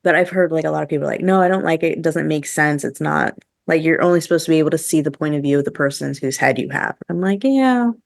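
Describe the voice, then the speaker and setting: A woman's voice: deep voice, fast, vocal fry, dry recording, studio quality. A woman podcast host chatting casually and giving her opinions, with a lot of "like" thrown in. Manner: chatty, heavy use of "like", opinionated. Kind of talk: casual review chat among co-hosts.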